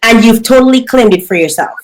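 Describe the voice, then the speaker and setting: A woman's voice: on the high side, brisk, rough recording. A woman from Dominica with a Dominican accent, hosting a live interview, giggly and reading viewer comments aloud. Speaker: interview host.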